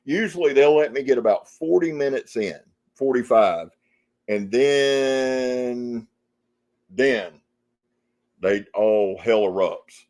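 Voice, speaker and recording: A man's voice: medium pitch, lively intonation, slow, pauses often, a little mellow. A man casually chatting on a live stream, reading out viewer comments and greeting people by name in a rambling, folksy way. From Jacksonville, Florida area. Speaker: outdoor channel host on a live stream.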